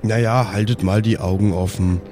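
A man's voice: fairly low pitch, steady tone, normal speed, dark tone, soft background music. A man talking casually and colloquially as a player in an improvised tabletop role-playing game.

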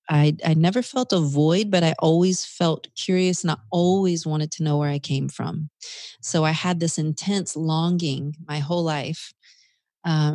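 Low-voiced woman, closely recorded and dry. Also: a little mellow, no room sound. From United States, South Carolina. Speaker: interview guest. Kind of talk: reflective personal storytelling in conversation.

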